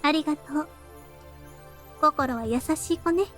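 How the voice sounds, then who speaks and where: A woman playing a quiet, weary, affectionate young woman in a visual novel, speaking softly and without hurry. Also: high-pitched, fairly quick, close to an everyday voice. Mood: calm, gentle. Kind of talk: Japanese visual novel voice acting. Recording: background music under the voice.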